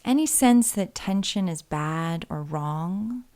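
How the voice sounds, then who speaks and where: A woman with a slightly low voice guides a body scan meditation. She speaks gently and soothingly, taking her time and leaving space between phrases. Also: animated. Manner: soothing, gentle, unhurried, with pauses.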